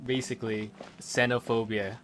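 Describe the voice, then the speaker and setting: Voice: medium pitch, flat delivery, moderate pace, background noise. A streamer giving casual commentary on a game livestream.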